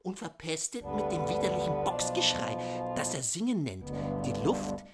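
A woman's voice: fairly low pitch, sing-song, moderate pace. A woman playing a mocking, scornful theatre Doctor in a scripted comic radio drama, theatrical in delivery, with music under the voice. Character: mocking theatre Doctor jeering at a stingy, vain master. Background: loud guitar music.

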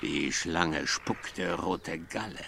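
An actor in a radio play as a rough, blustering man telling of a clubbed eel and making excuses. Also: low-pitched, extremely slow, noisy background, full character voice. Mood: excited, defensive.

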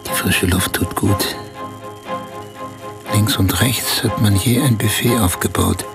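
An actor in a radio play as a man recounting, in measured detail, a strange dreamlike night of being pampered. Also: on the low side, vocal fry. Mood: solemn wonder. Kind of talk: German radio play monologue. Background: music.

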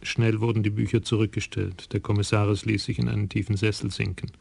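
A man giving measured, scripted narration for a radio crime play. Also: lowish voice, flat delivery, creaky, a little mellow, breathy edge, dry recording.

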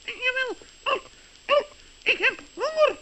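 An actor voicing a cheeky, naive little animal in a children's radio play, squeaky and clipped. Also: animated, slow pace, bright, forceful tone, heavily acted. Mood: innocently cheeky. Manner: clipped, punctuated with a repeated little tic sound. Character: Joris, cheeky naive hungry little creature. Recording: dull old radio recording.

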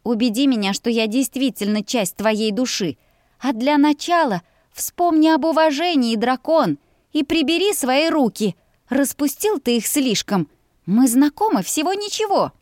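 A woman voicing a proud, fiery young heroine, sharp, scolding and defiant, in an audiobook story. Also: high voice, animated, unhurried, dry recording, studio quality, voice pushed up. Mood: angry, defiant.

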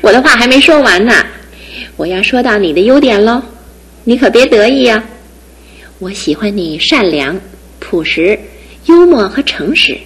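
A woman's voice: a little high, animated, breathy edge, rough recording. An actress voicing an independent, teasing young female student about to graduate, in a studio radio drama. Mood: tender earnest. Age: young adult. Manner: teasing and brisk, then tender. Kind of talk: 1960s-70s studio radio drama acting.

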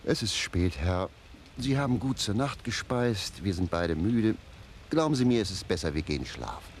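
An actor playing a shrewd, philosophizing manservant in a German radio play, wry and argumentative. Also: male voice, on the low side, big pitch swings, normal speed, noisy background. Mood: tired, coaxing.

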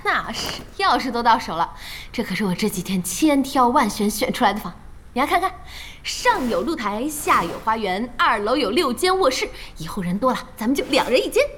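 An actress playing a spirited, outspoken young female boss in a radio drama, with a brisk and expressive manner. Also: on the high side, slight background noise. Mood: proud. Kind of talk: Chinese radio drama acting.

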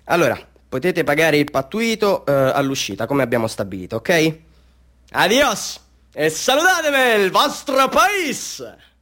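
An actor playing a chatty small-town Bolivian street photographer who hustles tourists with stories, in a radio theatre monologue, theatrical and conspiratorial. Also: male voice, fairly high pitch, big pitch swings, sharp tone, heavily acted. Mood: brisk salesmanship. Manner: conspiratorial, salesman-like, theatrical, halting asides to listeners.

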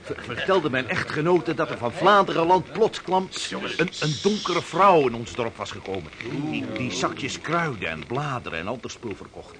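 Man acting an excitable, stammering yarn-spinning sailor. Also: on the high side, moderate pace, a crowd in the background, natural voice. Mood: spellbound storytelling. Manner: stammering, breathless, repeating words. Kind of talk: Dutch radio play acting.

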